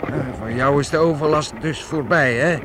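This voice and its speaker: A man's voice: on the high side, normal speed, background noise, natural voice. An actor playing a good-natured, chatty young man in a radio play. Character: Jeroen, good-natured young male helper.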